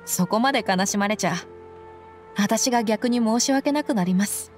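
A woman's voice: medium pitch, moderate pace, darkish tone, natural voice. Woman acting tough, frank young female mercenary, music behind. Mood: consoling, reflective. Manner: rough, tomboyish casual speech. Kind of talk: dramatic anime-style game dialogue. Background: background game music.

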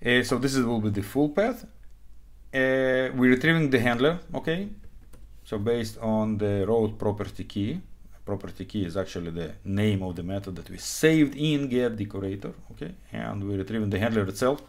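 A man, an English speaker explaining code informally and haltingly as an instructor in a live tutorial. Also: fairly low pitch, animated. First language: not English; also speaks Hebrew, Russian and Ukrainian. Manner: halting, frequent okay check-ins, non-native phrasing. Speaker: programming instructor. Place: small indoor room, webcam screen recording.

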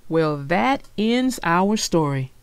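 A woman narrating a children's story in an expressive read-aloud style, speaking directly to young listeners. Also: fairly low pitch, takes it slowly, smooth, dry recording. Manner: addresses young listeners directly, explaining the lesson.

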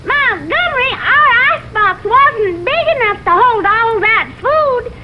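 A woman's voice: very high-pitched, animated, unhurried. A woman playing a cheerful, homely housewife in a vintage animal cartoon. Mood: homely, chatty. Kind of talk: vintage cartoon sitcom acting. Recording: muffled, heavily noisy vintage TV soundtrack.

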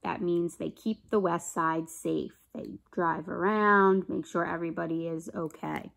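Slow-paced American woman reading to children. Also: fairly low pitch, vocal fry. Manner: deliberate, expressive, simple explanatory phrasing. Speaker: teacher reading a children's book aloud. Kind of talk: read-aloud storytelling with explanations to children. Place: small room at home.

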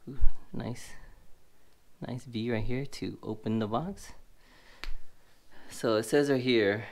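A man's voice: mid-range voice, takes it slowly. A man with a stuffy, sniffly voice casually narrating a gadget unboxing at a table, rambling with many fillers. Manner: rambling, many fillers, thinking aloud while handling the box. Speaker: tech reviewer. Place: indoors at a table.

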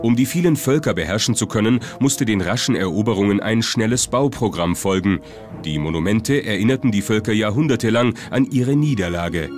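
A man's voice: fairly low pitch, lively intonation, brisk. A man reading scripted documentary narration. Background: music.

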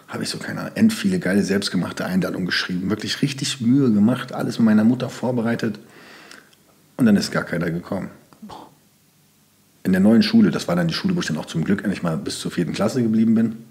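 A man's voice: fairly low pitch, a little mellow. A young man from Germany, speaking as a podcast guest in loose, rambling sentences full of fillers. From Germany (Sauerland). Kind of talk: casual interview talk.

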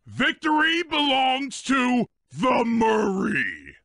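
A man's voice: very high voice, wildly swooping pitch, very slow, sharp tone, no echo, clean studio sound, exaggerated voice. A man playing a big, dim but loyal cartoon hippo strongman in a video game, boastful and childlike, with bombastic delivery. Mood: heroic boasting. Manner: bombastic, speaks of himself in third person.

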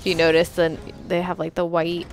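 Young female reaction host, casual, rather low voice, unhurried, game music behind her. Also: monotone. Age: young adult.

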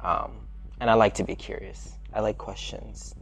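A young man from the Bronx, a dancer speaking in a measured, thoughtful way about his art. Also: lowish voice, little pitch movement, vocal fry, fairly bright tone, husky, poor audio. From Bronx, New York. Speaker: dancer and performer. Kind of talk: reflective interview talk.

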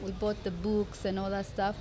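A woman in her twenties from Rwanda speaks as a podcast guest at a medium pitch. She tells her story conversationally and emphatically, repeating phrases to drive points home. She is close to the microphone. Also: completely flat delivery, normal speed, poor audio. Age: 20s. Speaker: podcast guest, law student. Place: open-air covered café terrace. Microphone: close podcast microphone on table stand.

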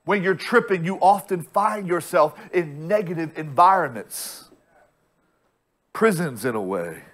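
A pastor in his thirties delivers a sermon from a church stage, speaking into a small body mic. His voice sits a little high for a man, and he takes his time. He drives each point home with repeated phrases and restarts. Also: expressive, a bit bright. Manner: preaches slowly with emphatic repetition and restarts. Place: church stage with bright screen backdrop.

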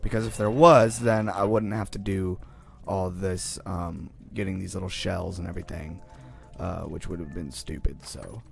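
A young man with a raspy morning voice giving casual let's-play commentary as he plays, often groaning in frustration at the game. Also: low voice, steady tone, normal speed, soft background music. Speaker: gaming channel host.

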